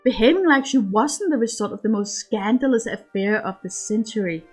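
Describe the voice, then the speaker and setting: A woman's voice: on the high side, expressive, moderate pace, slightly rough, soft background music. A woman narrating a piece of fiction as an amateur audiobook-style reader. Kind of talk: scripted story narration.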